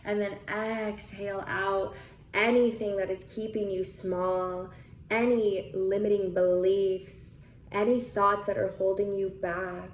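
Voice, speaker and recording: Slow-paced woman guiding meditation in echoey room. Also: mid-range voice, flat delivery. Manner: soothing, unhurried, with long pauses between phrases. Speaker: guided meditation leader. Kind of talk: guided visualization, breathing instruction. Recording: echoey room with faint noise.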